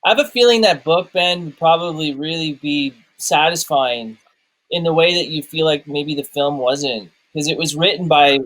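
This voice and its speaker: A man's voice: a little high, moderate pace, fairly bright tone, rough recording. A man talking casually about films as a podcast co-host, searching for words and rephrasing questions as they come. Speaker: film review podcast co-host. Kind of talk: casual movie discussion.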